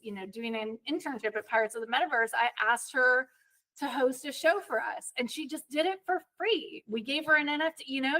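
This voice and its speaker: A woman hosts a podcast with a chatty, candid way of talking and a somewhat raised pitch. She rattles through stories with quick asides. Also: pushed and bright, poor audio. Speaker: podcast host. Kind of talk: casual chat.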